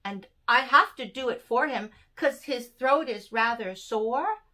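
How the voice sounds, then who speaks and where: A woman's voice: a little high, expressive, measured pace, sharp tone. A woman in her sixties voicing a fond, earnest little boy in a storybook poem, in a singsong, confiding way.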